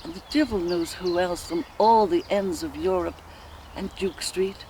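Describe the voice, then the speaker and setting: An actress playing a sensual Irish woman lost in rapturous memory, in an expressive, musing theatrical soliloquy with an Irish accent. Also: fairly low pitch, natural voice, poor audio. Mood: wistful, vivid. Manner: musing, expressive, slow and deliberate with sighs. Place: outdoors in countryside woodland. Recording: faint outdoor ambient noise. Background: faint birdsong and nature ambience.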